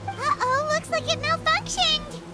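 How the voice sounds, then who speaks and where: A woman voicing a small, excitable flying companion creature in a video game, squeaky and exclaiming. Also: measured pace, music in the background. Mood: startled. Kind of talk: video game character acting.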